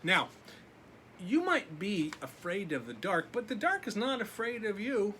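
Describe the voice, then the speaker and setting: A man reading a children's story aloud expressively, with deliberate, dramatic pauses. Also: high voice, big pitch swings, bright, forceful tone, slight background noise. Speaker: children's story reader, teacher.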